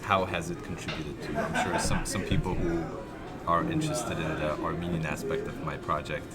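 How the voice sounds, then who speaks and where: A man interviewing a musician, asking gentle questions and often murmuring agreement. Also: a bass voice, steady tone, normal speed, over loud music. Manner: brief agreeing back-channels, gentle questions. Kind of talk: interview conversation. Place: coffee shop.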